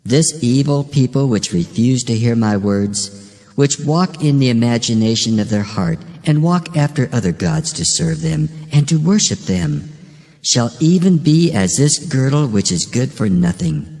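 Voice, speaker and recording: A man's voice: medium pitch, animated, a little slow, mellow. A man playing a solemn, commanding God who declaims judgment in a dramatized scripture reading. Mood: stern, grave. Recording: long hall reverb, faint music bed.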